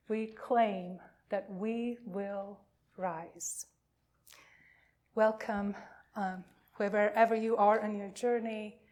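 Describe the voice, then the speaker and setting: A woman pastor welcoming a congregation and reading liturgy, with deliberate phrasing and frequent pauses. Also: medium pitch, darkish tone. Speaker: pastor leading a worship service.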